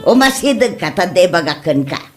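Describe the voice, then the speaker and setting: A woman's voice: lowish voice, sing-song, normal speed, over music. A woman with an Ilocano accent playing a gossipy, grumbling neighbour wife in an amateur comedy drama.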